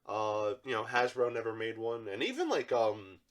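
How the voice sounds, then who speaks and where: A man's voice: medium pitch, very animated, moderate pace. A young man with a congested, stuffy voice from allergies, casually reviewing an action figure and rambling with lots of fillers. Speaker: toy reviewer, channel host.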